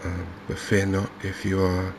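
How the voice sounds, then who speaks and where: A man with an English accent giving soft-spoken, hesitant, unhurried gameplay commentary in an ASMR style. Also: deep voice. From England. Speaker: gaming video host. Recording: clear steady background noise.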